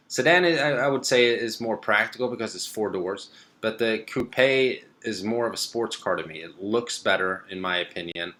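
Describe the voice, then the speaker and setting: A young man from Sweden with a lowish voice, chatting on a live stream. He reads out viewer comments and answers them in an easygoing, meandering way, stopping for plenty of ums and uhs. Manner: relaxed and rambling, many fillers. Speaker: car vlogger hosting a live stream.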